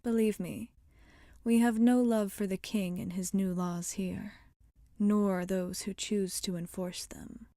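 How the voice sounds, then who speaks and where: A woman voicing a wry, rebellious witch shopkeeper in a soft-spoken ASMR roleplay, often slipping into whispers. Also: medium pitch, fairly even intonation, slow, no echo, clean studio sound. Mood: wry, confiding.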